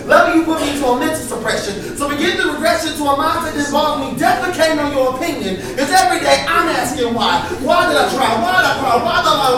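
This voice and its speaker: Spoken word poet, pretty high voice, impassioned n rhythmic, far from mic with crowd around. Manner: emphatic, impassioned, rhythmic delivery. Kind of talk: live performance poetry with sung passages. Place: live poetry event before an audience. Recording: echoey live venue, distant mic, audience noise.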